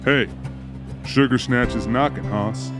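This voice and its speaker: A man's voice: medium pitch, measured pace, natural voice. A man playing a teasing, laconic country tough guy with a drawl in an audiobook drama. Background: guitar music.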